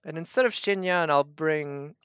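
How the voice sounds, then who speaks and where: A man's voice: on the high side, moderate pace, fairly bright tone, clear, resonant tone, dry recording, highs cut off. A man doing casual live game commentary, thinking aloud and trailing off as he goes. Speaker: game streamer.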